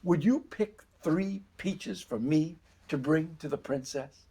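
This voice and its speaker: A man plays a kindly, naive fairy-tale lad, a little high and husky. He talks in a hesitant, apologetic, earnest way, always polite. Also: lively intonation, slow, mellow, exaggerated voice. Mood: worried.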